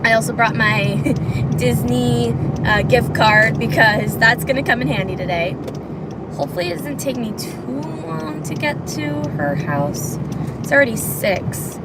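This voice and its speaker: A woman's voice: a little high, animated, measured pace. Woman losing her voice, chatty vlog from the car, lots of road noise. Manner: chatty, rambling with restarts. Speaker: vlogger. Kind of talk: casual chat while driving. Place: car on a freeway. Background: loud car road noise.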